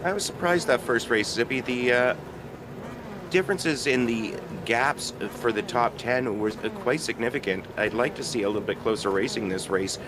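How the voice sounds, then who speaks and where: A man giving measured color commentary on a sim race, reading grid positions and pausing with "uh". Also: mid-range voice. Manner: measured, matter-of-fact, with frequent "uh" pauses. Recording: noticeable background noise.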